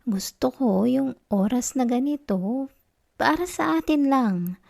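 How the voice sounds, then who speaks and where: A woman acts out an affectionate, sulky Filipino wife in an intimate ASMR roleplay. Her voice is fairly high, soft and mellow. She takes her time, coaxing and teasing with a tender pout. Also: lively intonation, takes it slowly, creaky, full character voice. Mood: tender, mildly annoyed. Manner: soft, coaxing, pouty, slipping into whispers.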